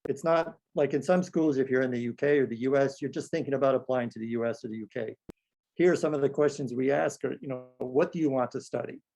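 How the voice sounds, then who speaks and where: A man, a school counsellor, speaking calmly and in a measured way during a webinar over a video call. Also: mid-range voice, gravelly. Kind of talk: webinar presentation.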